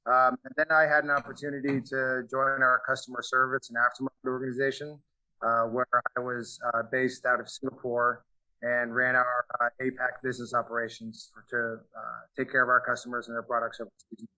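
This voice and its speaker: A man's voice: medium pitch, level tone, a little fast, sharp tone, rough recording. A man answering interview questions about his career, earnest, with many fillers and restarts. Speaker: value stream manager, guest. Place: aircraft manufacturing facility.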